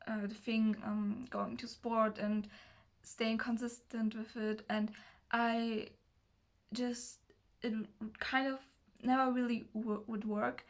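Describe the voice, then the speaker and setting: A nineteen-year-old woman from Germany with a German accent, talking casually to the camera in a nervous, hesitant, halting way as a non-native speaker. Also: a little high. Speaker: self-development video creator. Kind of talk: casual personal talk to camera.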